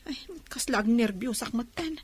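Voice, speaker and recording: A woman's voice: fairly high pitch, normal speed, a little hiss or hum, natural voice. A woman with an Ilocano accent playing a lovesick young woman waiting for her boyfriend in a radio-style drama. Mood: anxious.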